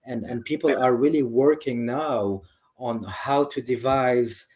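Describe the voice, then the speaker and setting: A man's voice: medium pitch, a little slow, a little mellow. Enthusiastic man, a doctor being interviewed. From Lebanese family, grew up in several countries. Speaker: nephrologist, interview guest. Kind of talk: conversational interview answers.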